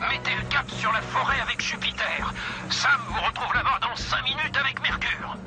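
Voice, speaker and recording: A man's voice: extremely high pitch, moderate pace. A man voicing an authoritative fire station chief giving orders in a cartoon dub. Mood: urgent. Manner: commanding, clipped orders. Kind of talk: animated cartoon dub acting. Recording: loud music over the voice.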